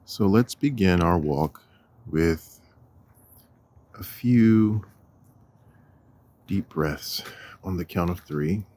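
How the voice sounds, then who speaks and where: A man leading a guided walking meditation in a deliberate, measured manner, with long pauses and a husky voice. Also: sits low, a little mellow. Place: outdoors on a walk.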